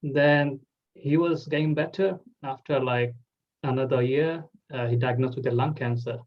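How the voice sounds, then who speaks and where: A man's voice: mid-range voice, fairly even intonation, normal speed. Young man, lo-fi sound. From Bangladesh. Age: 20s. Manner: loose phrasing with many "like" fillers. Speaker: host. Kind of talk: casual interview talk.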